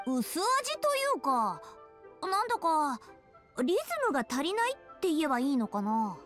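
A young tomboy rock musician in an anime game. She is brash and friendly in rough slang. The high voice moves in lively steps, with faint music behind. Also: normal speed. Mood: frank. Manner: tomboyish slangy speech, encouraging. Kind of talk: Japanese anime game voice acting.

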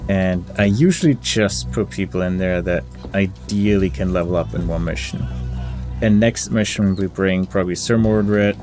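Male gamer, lowish voice, casual think-aloud commentary over clear background music. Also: normal speed, darkish tone. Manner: mutters through options, reading game text aloud. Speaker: gamer hosting a playthrough. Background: game music.

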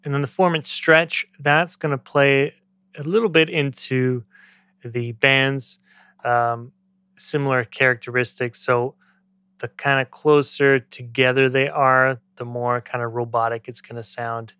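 A man's voice: mid-range voice, flat delivery, measured pace, pushed and bright, clean tone, no echo. Man giving tutorial, dry close mic. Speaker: music production tutor. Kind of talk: casual software tutorial. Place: small indoor home studio. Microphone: close studio microphone on boom arm.

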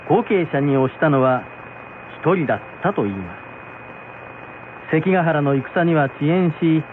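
A man tells an old folktale on the radio with animated, fairly high intonation. His delivery is polite and traditional. The sound is tinny, with music clearly behind him. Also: a little hiss or hum. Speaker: storyteller, narrator. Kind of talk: scripted folktale narration for radio.

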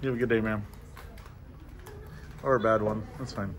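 A man's voice: lowish voice, fairly even intonation, moderate pace, a little hiss or hum. A man filming in public outside a post office, chatting and needling passersby with a sarcastic, laughing manner. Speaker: First Amendment auditor filming in public. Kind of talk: casual confrontational street filming.

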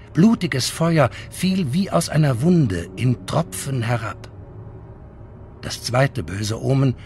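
A man reads historical chronicles in a dramatic, scripted style. He goes very slowly, and his mid-pitched voice moves up and down with lively expression. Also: vocal fry, slight background noise. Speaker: reader of historical source texts.

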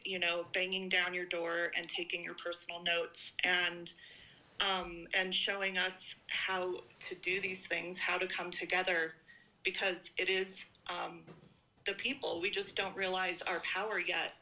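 A woman calling in to a live show, speaking slowly and haltingly to thank the host. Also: lowish voice, level tone, sharp tone, poor audio. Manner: slow, halting, grateful. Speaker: caller.

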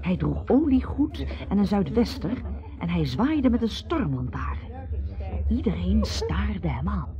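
Slow, measured male narrator. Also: sits high, sing-song, crowd noise. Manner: measured, storytelling. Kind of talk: literary radio drama narration. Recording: muffled radio sound, faint music.

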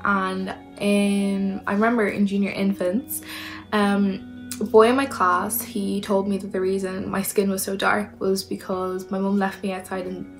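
A young woman with an Irish accent speaks in a level, even voice, pausing often with ums as she recalls a memory. Soft music sits faintly under her, recorded close on a phone camera in a small room. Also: mid-range voice. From Ireland. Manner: reflective, halting with fillers. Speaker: interviewee. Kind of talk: personal testimony.

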